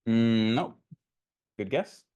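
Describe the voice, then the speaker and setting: A man's voice: medium pitch, expressive, takes it slowly, a little mellow, smooth, dull audio. A man giving an informal academic lecture, explaining in a conversational way and thinking aloud as he takes questions. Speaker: lecturer presenting a technical talk.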